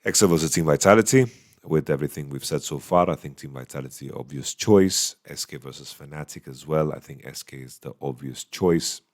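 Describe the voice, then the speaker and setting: A man with a slightly hoarse voice, casually giving a solo esports recap from a small hotel room into a microphone, thinking aloud with many fillers. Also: extremely low pitch, a little fast, a little mellow. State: voice going a bit hoarse. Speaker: esports analyst. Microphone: studio microphone.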